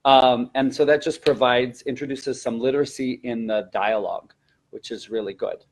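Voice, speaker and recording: A man's voice: mid-range voice, steady tone, normal speed. A man who teaches elementary language classes, giving informal, encouraging and conversational advice in an instructional webinar.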